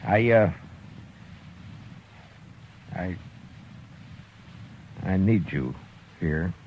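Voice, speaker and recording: An actor playing a gruff, steady frontier marshal in a 1950s radio drama, talking in a deep, measured way. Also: male voice, slight background noise, heavily acted. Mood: firm. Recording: dull vintage 1950s radio recording.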